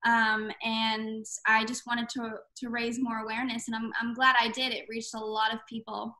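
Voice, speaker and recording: A woman's voice: fairly high pitch, little pitch movement, normal speed, bright, forceful tone, rough recording. A woman in her twenties, a singer-songwriter guest, chatting eagerly with fillers and restarts. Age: 20s. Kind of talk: casual interview.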